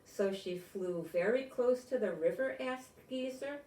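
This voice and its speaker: An older woman reading a picture book aloud with chatty asides, explaining gently and simply as if to children. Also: fairly high pitch, a little slow. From United States. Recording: roomy echo, distant microphone.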